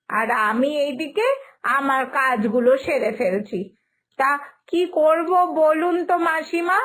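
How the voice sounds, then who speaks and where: A woman voicing a fretful, soft-hearted young village mother in an animated moral story, polite and anxious, with an expressive, deliberate delivery. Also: high voice, heavily acted. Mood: polite, evasive.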